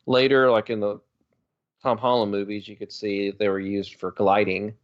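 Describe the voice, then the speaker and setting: A man with a fairly low voice co-hosts a casual podcast. He talks tentatively, feeling his way through answers. The sound is a little dull and lo-fi. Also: flat delivery, moderate pace. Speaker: podcast co-host. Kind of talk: casual chat.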